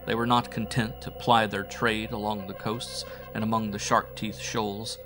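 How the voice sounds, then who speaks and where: A man's voice: fairly low pitch, flat delivery, moderate pace. A man narrating a horror audiobook in a theatrical, brooding, old-fashioned storytelling manner. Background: music.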